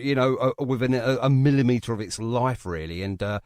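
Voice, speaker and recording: A man's voice: mid-range voice, fairly quick, darkish tone, dry recording, clean studio sound. A man hosting a radio interview in a casual, jokey, rambling way. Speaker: radio show host interviewing a musician.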